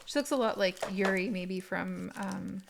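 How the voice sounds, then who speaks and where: A woman with a mid-range voice talks through an unboxing review in a casual, unscripted style. She describes things in careful detail, pausing and piecing her phrases together as she goes. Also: mid-range voice, creaky, noisy background. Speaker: doll collector reviewing an unboxing.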